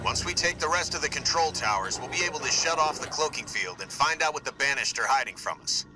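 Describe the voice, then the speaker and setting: An actor playing a gruff, decisive military squad commander in a video game, giving urgent orders. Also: male voice, a little high, lively intonation, quick pace, music in the background, lightly acted, rough recording. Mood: resolute.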